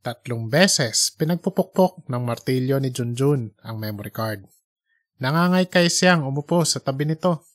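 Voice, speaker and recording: A man's voice: medium pitch, animated, moderate pace, no echo. A man, hosting and reading a scripted audiobook-style story. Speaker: podcast host and storyteller.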